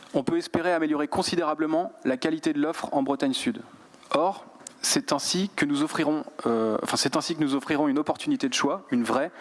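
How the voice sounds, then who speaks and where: A man from Brittany, France, speaking as a regional councillor in a formal, measured, articulate political speech, partly read. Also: a little high.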